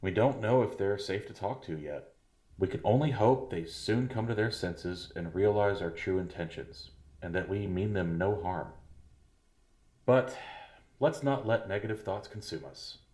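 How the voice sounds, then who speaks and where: An actor playing a soothing, paternal school head in an audio drama. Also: male voice, fairly low pitch, measured pace, a little mellow, natural voice. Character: middle-aged male school head, falsely kindly. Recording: echoey room.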